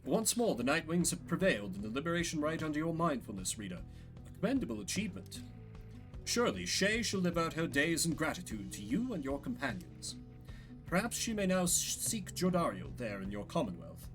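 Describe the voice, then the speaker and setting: A man's voice: a little high, big pitch swings, music in the background, poor audio. A man voicing a solemn, courtly minstrel guide in a fantasy game, speaking in formal, old-fashioned phrases. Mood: solemn, approving. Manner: formal, measured, archaic phrasing.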